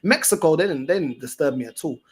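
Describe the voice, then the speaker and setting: A man's voice: fairly high pitch, lively intonation, fast talker, husky. A man with a London accent chatting casually as a livestream host, in a slangy, repetitive, emphatic way. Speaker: fan channel host.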